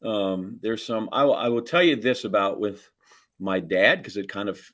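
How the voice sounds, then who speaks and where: Low-voiced man, rambling folksy storyteller. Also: moderate pace, a bit bright. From Wisconsin, United States. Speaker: podcast co-host. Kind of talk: casual chat with rambling anecdotes.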